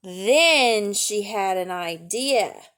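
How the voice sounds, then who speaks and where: A woman reading aloud to children as a storytime teacher, gently explaining and asking questions along the way. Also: mid-range voice, animated, extremely slow.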